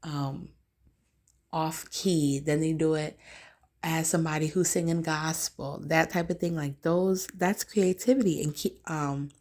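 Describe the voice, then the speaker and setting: A woman vlogger with a raspy voice, talking in a loose, rambling casual monologue full of fillers. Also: low voice, fairly even intonation, a little slow, darkish tone.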